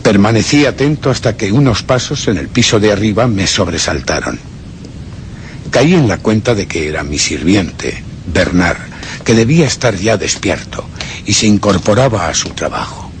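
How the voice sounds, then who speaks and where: An actor playing a tormented, guilt-ridden gentleman narrating his descent into madness in a horror radio drama, with a brooding literary delivery and a Castilian accent. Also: on the low side, expressive, moderate pace, slight background noise. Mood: haunted, brooding. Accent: Castilian Spanish. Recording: music bed behind.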